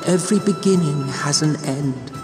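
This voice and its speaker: A man's voice: on the high side, normal speed, lightly acted. A man playing a wise, solemn narrator of fate in an epic video game, grave and portentous. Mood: solemn, poignant. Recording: long reverb under loud music.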